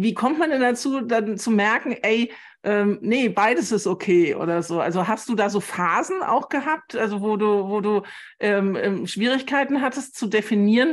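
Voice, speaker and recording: A woman conducts an interview, feeling her way through questions with fillers. Her voice is mid-pitched and plain. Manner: searching questions with hesitant fillers. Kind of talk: conversational interview.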